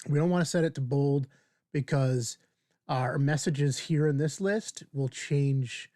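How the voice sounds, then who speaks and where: A man in his fifties hosting a casual screen-share software tutorial, informally thinking aloud as he demonstrates. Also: mid-range voice, no room sound, studio quality. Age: 50s. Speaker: video tutorial host.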